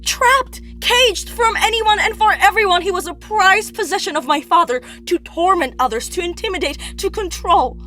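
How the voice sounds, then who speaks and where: A woman's voice: extremely high pitch, moderate pace, few pauses. A woman playing an anguished, guilt-ridden young princess in a poetic stage tragedy, intense and rhetorical. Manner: intense, rhetorical, swinging between outcry and hush. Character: anguished young Cretan princess racked with guilt over brother's death.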